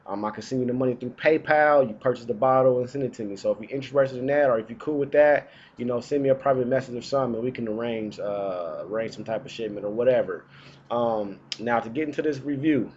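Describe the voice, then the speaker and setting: A man's voice: mid-range voice, fast, darkish tone, lo-fi sound, slight background noise. Laid-back man fighting off a cold. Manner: laid-back, chatty, slangy. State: getting over a cold. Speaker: fragrance reviewer. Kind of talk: casual vlog review. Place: indoors, small room.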